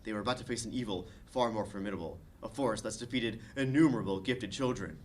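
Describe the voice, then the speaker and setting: A man's voice: fairly low pitch, fast, slight background noise. A man reading scene directions as narrator at a comedic table read.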